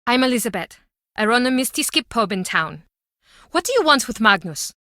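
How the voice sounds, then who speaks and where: A bitter, guarded woman who runs a small-town pub in a video game. Her voice is fairly high and sharply bright, with curt, brooding, dramatic phrasing. The recording is dry and close. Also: sing-song, brisk, dry recording. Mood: guarded, suspicious. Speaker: game character.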